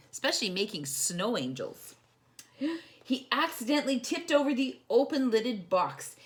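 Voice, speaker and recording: A woman's voice: fairly high pitch, a bit bright. A woman hosting a children's storytime, reading a picture book expressively and putting simple questions and explanations to young listeners.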